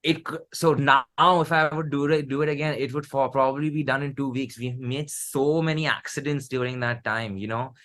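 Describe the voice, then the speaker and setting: A man with an Indian accent talks in a loose, conversational way as an interview guest. His delivery rambles, doubling back on phrases and repeating himself as he explains. The pitch sits in an ordinary middle range for a man. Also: fairly even intonation, brisk, few pauses, fairly bright tone. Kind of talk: casual conversational anecdotes.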